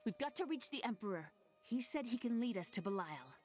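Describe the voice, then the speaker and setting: Brave young game heroine, kinda high voice, earnest n urgent, music behind. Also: female voice, sing-song, brisk. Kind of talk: dramatic video game acting.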